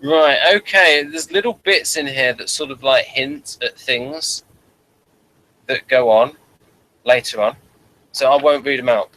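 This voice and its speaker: A man co-hosting a casual, unscripted review chat, repeating words and trailing off mid-thought in a halting way. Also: mid-range voice, bright, forceful tone, low-quality recording. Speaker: podcast co-host reviewing a TV series.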